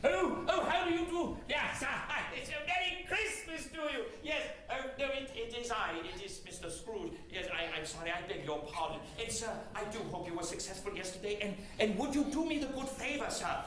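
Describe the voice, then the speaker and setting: A man's voice: extremely high pitch, a little fast, few pauses, fairly bright tone, pitched above the performer's own voice. A man voicing an old miser who has suddenly turned giddy and kind, stammering and laughing between his words. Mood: flustered. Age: older. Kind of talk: storytelling with voiced character. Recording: very echoey room.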